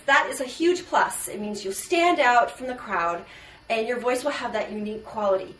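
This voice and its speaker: A woman voice teacher explaining points one by one in a measured instructional video. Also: sits high. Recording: echoey room, distant mic.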